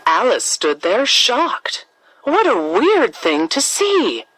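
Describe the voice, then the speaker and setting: A woman narrating a scripted children's fairy tale as a storyteller, with expressive, dramatic emphasis. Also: fairly high pitch, takes it slowly, background noise.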